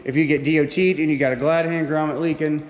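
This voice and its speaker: A man with a slightly high voice gives a casual vlog tour as a truck driver. He talks in an informal, folksy way, using slangy phrasing. The handheld camera audio carries long reverb and faint noise. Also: fairly even intonation, fast talker, lo-fi sound. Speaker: truck driver vlogger showing his gear. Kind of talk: casual walk-through vlog, chatty and informal. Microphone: handheld camera on small tripod, no foam cover.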